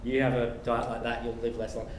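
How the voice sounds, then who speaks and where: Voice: mid-range voice, flat delivery, a little fast, echoey room, slight background noise. A podcast co-host who talks in a stammering, self-mocking way and laughs in casual conversation.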